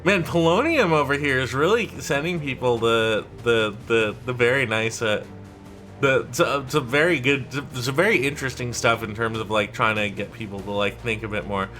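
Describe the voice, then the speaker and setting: Brief: twenties male streamer, bright voice, rambling casual chatter, music faint behind. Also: mid-range voice, moderate pace. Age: 20s. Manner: rambling, trails off and restarts, laughs easily. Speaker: streamer playing a strategy game. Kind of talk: casual chat with a co-host while reading chat.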